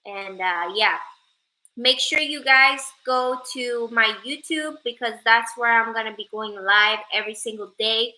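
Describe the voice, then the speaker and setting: Slow, bright-voiced young woman streaming live. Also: fairly high pitch, poor audio. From Sweden. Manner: slow, joking, playful, reads viewer comments. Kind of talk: casual livestream chat with viewers.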